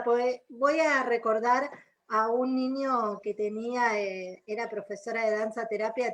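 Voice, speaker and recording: A woman's voice: fairly high pitch, fairly bright tone. A woman from Argentina with an Argentine accent co-hosting a radio magazine, speaking in an enthusiastic, flowing way. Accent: Argentine Spanish. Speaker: radio co-host.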